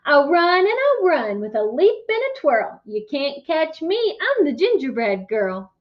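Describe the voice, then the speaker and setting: A woman's voice: sits high, big pitch swings, a little slow, highs cut off. A woman voicing a bold, cheeky young gingerbread girl who sings teasing rhymes in a storybook read-aloud. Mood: teasing, gleeful. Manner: sing-song rhyming taunts.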